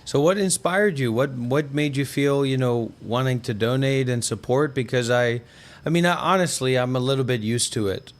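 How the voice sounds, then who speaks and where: Male host, thirties, average pitch, casual livestream conversation, rambling conversational delivery. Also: moderate pace. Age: 30s. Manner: discursive, often says "you know". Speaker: host, former monk and content creator.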